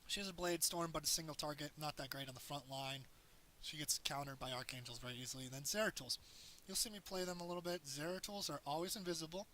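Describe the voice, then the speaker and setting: Hoarse man explaining his game play. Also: on the high side, fast, sharp tone, a little hiss or hum, poor audio. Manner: explains as he plays, loose and rambling. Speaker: gameplay commentator. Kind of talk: casual game tutorial commentary.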